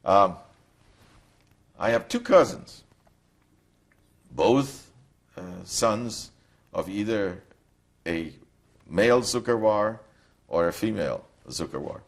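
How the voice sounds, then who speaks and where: An older man recounts his memories in a slow, reflective way, often pausing to find his words. His voice sits very low. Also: animated. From Poland. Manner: reflective, halting storytelling. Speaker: interviewee. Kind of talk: recorded testimony.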